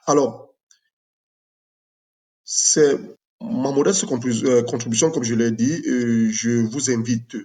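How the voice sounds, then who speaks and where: A man from Cameroon, a math teacher presenting his own project in an unscripted video, speaking in a measured way with many fillers. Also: mid-range voice, fairly even intonation, darkish tone.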